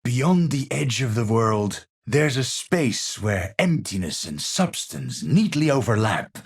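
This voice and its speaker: An actor playing a cerebral, haunted, middle-aged scientist in a video game, speaking formally and precisely with theatrical, pensive phrasing. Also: male voice, medium pitch, a bit bright, slightly rough, exaggerated voice. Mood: dreamy, awed. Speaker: video game hero character. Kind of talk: scripted game voice lines, theatrical.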